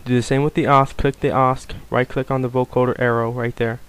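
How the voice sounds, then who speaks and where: A man giving a casual, unscripted software tutorial, explaining things informally step by step. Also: mid-range voice, level tone, brisk, clean tone. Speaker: tutorial presenter.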